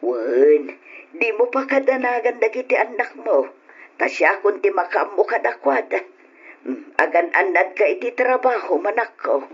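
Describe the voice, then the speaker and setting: A woman's voice: medium pitch, big pitch swings, normal speed, slightly rough. Elderly, tender grandmother, muffled recording. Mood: caring, reassuring. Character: elderly, caring grandmother and devoted mother. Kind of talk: radio drama acting. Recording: dull lo-fi radio recording.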